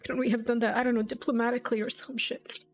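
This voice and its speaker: A woman's voice: a little high, little pitch movement, fast talker. A woman giving casual, emotionally invested reaction commentary on a game, thinking aloud and swearing freely. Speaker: game streamer. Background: faint game music.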